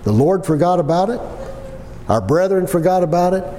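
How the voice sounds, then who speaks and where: Man, 60s, for sermon delivery: folksy and emphatic, very lively intonation, noisy recording. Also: sits high, normal speed. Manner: folksy, conversational; emphatic repetition with rhetorical questions. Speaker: preacher. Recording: long hall reverb with background noise.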